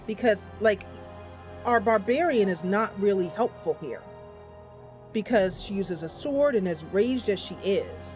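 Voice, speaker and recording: A woman's voice: medium pitch, lively intonation, measured pace, a little mellow, faint music behind. A woman streaming a game, casually commentating live and thinking aloud as she chats with her viewers.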